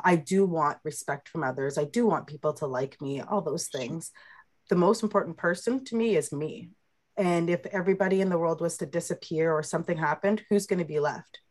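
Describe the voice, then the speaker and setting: Young woman w a really low voice, reflective, chatting like a guest. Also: moderate pace. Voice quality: lower voice. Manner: reflective, talks in imagery. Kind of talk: casual conversation.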